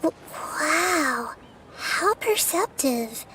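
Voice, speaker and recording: A woman voicing a gentle, childlike goddess of wisdom who speaks softly and patiently, in game voice acting. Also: high voice, expressive, very slow, heavy background noise, heavily acted. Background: game music.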